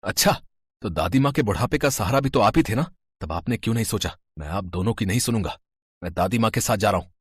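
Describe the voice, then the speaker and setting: A man's voice: lowish voice, expressive, fast, husky, no room sound, studio quality. A man voicing an indignant twenty-year-old grandson in a storybook tale, earnest and reproachful. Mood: angry, hurt.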